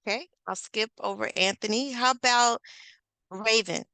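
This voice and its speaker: A woman's voice: a little high, normal speed, gravelly, bright, forceful tone, clear, resonant tone, no room sound. A woman hosting an online session over a video call, upbeat and encouraging, with lots of filler words. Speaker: host and facilitator.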